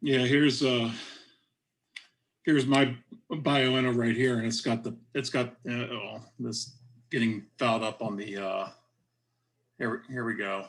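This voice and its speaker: Casual man with a medium-pitched voice. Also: steady tone, measured pace, long pauses. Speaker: workshop moderator. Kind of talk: casual chat. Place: online video call.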